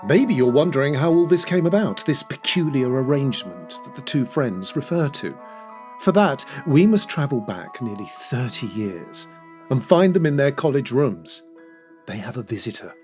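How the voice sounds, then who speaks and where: An actor playing a knowing, urbane storyteller who addresses the listener in scripted audio drama narration, measured and confiding. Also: male voice, medium pitch, big pitch swings, a little mellow, faint music behind, close to an everyday voice. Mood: knowing, intrigued.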